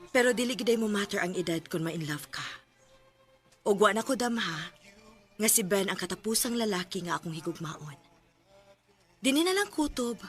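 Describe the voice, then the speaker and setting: A woman with a Filipino Cebuano accent playing a gentle, loving woman in a sentimental radio drama. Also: mid-range voice, natural voice. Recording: radio drama with music underneath.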